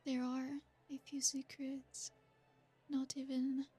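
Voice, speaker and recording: A woman playing a breathy, seductive vampire queen. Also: high voice, monotone, soft background music, exaggerated voice. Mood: intimate. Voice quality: breathy, soft-spoken. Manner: slow, seductive, drawn-out with whispered asides. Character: ancient possessive female royal vampire host. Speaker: ASMR creator. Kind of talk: ASMR roleplay.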